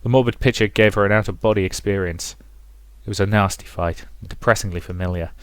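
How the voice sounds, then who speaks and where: A man's voice: lowish voice, a little fast, no echo. A man hosting a let's play, reading a visual novel as dramatic, rhythmic narration with some commentary. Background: music.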